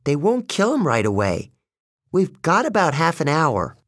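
A man's voice: on the high side, huge pitch swings, a little slow, fairly bright tone, no room sound, clean studio sound, voice pushed up, full character voice. A man voicing a bossy, clever and urgent teenage demigod girl in an audiobook story. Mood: firm.

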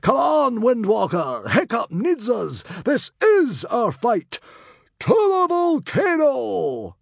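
Grumbling ageing warrior hero, done by a male reader, fantasy storybook, animated pitch, close dry sound. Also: takes it slowly, gravelly, no room sound, full character voice. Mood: rousing, shouting. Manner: grumbling, pleading, rhetorical questions. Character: reluctant, exasperated ageing hero, middle-aged warrior man. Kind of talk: audiobook character voice in children's fantasy adventure.

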